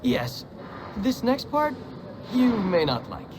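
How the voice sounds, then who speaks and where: An actor playing a wry, conspiratorial prisoner and forger in a dramatic video game cutscene. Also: male voice, extremely high pitch, big pitch swings, takes it slowly, full character voice. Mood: urging. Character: scheming, wry male prisoner, a forger plotting escape. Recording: clear background noise and ambience.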